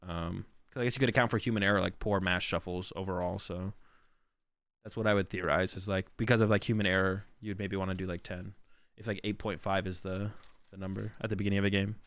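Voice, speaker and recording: A man's voice: low-pitched, steady tone, fast talker, a bit bright, no echo. A man who is sick and losing his voice, rambling through casual stream commentary with lots of fillers. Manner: rambling, filler-heavy, thinks aloud.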